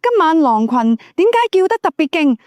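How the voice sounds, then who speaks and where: A woman's voice: very high voice, sing-song, normal speed, a little mellow, dry recording, pitched above the performer's own voice. A woman voicing a curious, candid young wife in a Cantonese audiobook, speaking in a direct, questioning way. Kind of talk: audiobook character dialogue.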